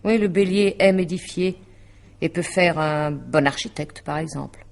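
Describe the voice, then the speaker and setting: A low voiced lady astrologer, composed n persuasive, old style french radio play. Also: normal speed, creaky. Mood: assured. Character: composed, persuasive female astrologer consulting a client. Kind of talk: 1950s-style French radio drama acting.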